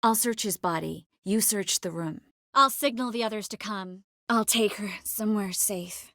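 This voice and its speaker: An actress voicing a young sorceress in a video game, gentle, earnest and determined, with a measured, expressive delivery. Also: female voice, medium pitch, no echo, studio quality, close to an everyday voice. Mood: focused, determined. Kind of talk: video game character voice lines.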